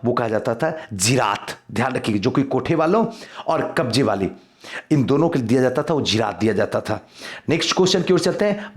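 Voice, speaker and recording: A man teaching exam history in a quiz lesson, reading out questions and explaining answers, repeating key points for emphasis. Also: medium pitch.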